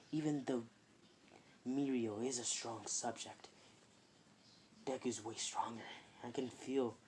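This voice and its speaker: Narrator voicing a soft-spoken hero mentor. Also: mid-range voice, slight background noise, close to an everyday voice. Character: big hero mentor, grown man, earnest.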